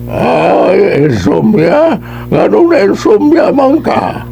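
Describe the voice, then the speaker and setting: Creaky, slow-talking muddled folk healer. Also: male voice, sits high, very animated, warm tone, soft background music, full character voice. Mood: puzzled. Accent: Cebuano. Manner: drawn-out, repetitive, comic explaining. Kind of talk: comic radio drama acting.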